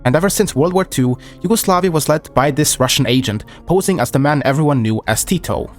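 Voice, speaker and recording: A man's voice: on the high side, expressive. Man narrating history over faint music. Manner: measured, reads document quotes aloud.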